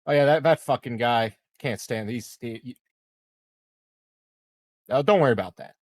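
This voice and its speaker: Mid-pitched man chatting casually. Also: normal speed, no echo, studio quality. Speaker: player in a tabletop game. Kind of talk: casual chat during a game.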